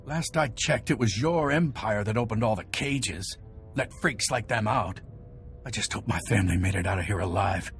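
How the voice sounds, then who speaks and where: A man's voice: fairly low pitch, normal speed, creaky, soft background music. A man voicing a nervous, bitter, resigned prison guard in a game cutscene.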